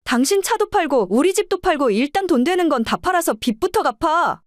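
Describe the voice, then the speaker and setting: Woman acting a fed-up wife, animated pitch. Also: a little fast, no echo, studio quality. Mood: angry. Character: fed-up, assertive wife and mother confronting her family. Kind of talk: audio drama dialogue.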